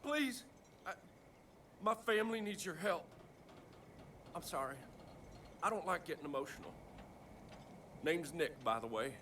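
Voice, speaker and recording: A man's voice: high voice, animated, normal speed, long pauses, background noise. An actor playing a hot-tempered, foul-mouthed male bush pilot who breaks into swearing, emotional outbursts. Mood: desperate. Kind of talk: video game cutscene acting.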